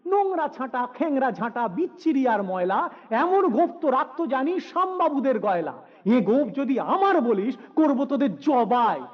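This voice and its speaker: A man's voice: extremely high pitch, big pitch swings, normal speed, muffled recording, heavily acted. A man voicing an irascible, paranoid office boss who blusters and scolds in rhymed comic verse. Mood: furious, scolding. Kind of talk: rhymed comic poetry recitation.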